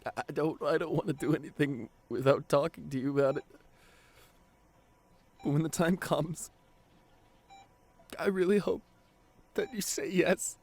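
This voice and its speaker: A man acting a devoted, guilt-ridden boyfriend at a bedside in an intimate ASMR roleplay, speaking tenderly and haltingly with a lot of emotion. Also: fairly high pitch. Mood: tender, hopeful. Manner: tender, halting, emotional delivery with repeated phrases.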